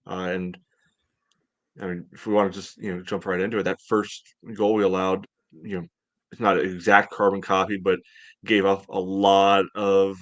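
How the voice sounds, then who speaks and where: A middle-aged American man casually analysing sports as a podcast co-host on a video call. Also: deep voice. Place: room at home, indoors. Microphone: video call from a computer.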